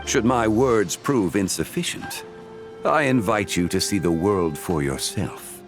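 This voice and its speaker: A man playing a calm, courteous, dignified god of contracts in a video game, speaking in a measured, formal way. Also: on the low side. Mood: calm, persuasive. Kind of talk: scripted video game voice acting. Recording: music under the voice.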